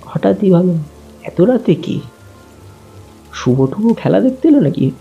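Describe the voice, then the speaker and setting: A man telling a first-person horror story in a slow, suspenseful way. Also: high-pitched, very animated, gravelly, dark tone. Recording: muffled lo-fi with faint music.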